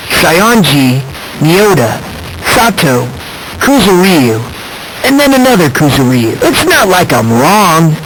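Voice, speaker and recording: An unsettling, hope-obsessed teenage boy from a video game. His speech is courteous and needling, sliding up and down in pitch with theatrical flair. The clip has clear background noise. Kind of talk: English video game voice acting.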